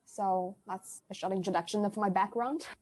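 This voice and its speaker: A woman's voice: mid-range voice, steady tone, normal speed, darkish tone. A young woman from China, a guest on a podcast, speaking English with a Chinese accent in an earnest, simple way. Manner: earnest, simple non-native phrasing. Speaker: guest, university student. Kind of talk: casual podcast chat.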